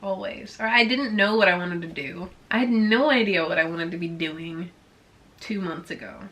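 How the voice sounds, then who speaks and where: Bubbly swedish-native girl around 20 doing a q&a, super chatty, bright voice. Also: fairly low pitch, moderate pace. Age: about 20. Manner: bubbly, chatty, heavy use of "like". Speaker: vlogger. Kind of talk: casual Q&A chat to viewers.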